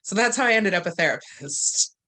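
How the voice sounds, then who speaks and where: A woman from Massachusetts, a marriage and family therapist, explains her work on a video call in a clear, plain American voice. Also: mid-range voice, animated, fairly quick, bright, forceful tone. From Massachusetts, United States. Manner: clear, explanatory, light laughs. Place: home in Dallas, Texas.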